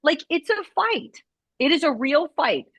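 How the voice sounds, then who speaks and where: A woman's voice: sits high, sing-song, a little slow, vocal fry, a bit bright, no room sound. A woman who runs a scoliosis movement studio, chatting casually and rambling with lots of "like" fillers. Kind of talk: casual video chat conversation.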